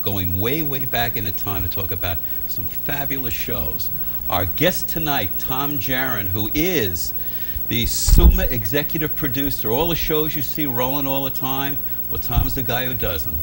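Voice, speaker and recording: An older man hosting a television interview on a studio set, asking questions in a joking, lively way. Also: mid-range voice, normal speed, over music, rough recording. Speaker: host. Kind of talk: casual interview.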